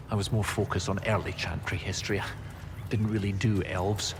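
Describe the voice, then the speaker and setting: An actor playing an absent-minded, excitable male professor of history in a video game, halting and muttering as he thinks aloud. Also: sits low. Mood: flustered. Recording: reverberant game hall, noisy ambience.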